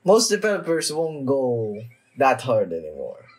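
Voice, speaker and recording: A young man giving casual, off-the-cuff reaction commentary, halting and sweary, often repeating his phrases. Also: mid-range voice, huge pitch swings, a little mellow, husky. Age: young adult. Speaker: reaction video host. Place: small room indoors at home.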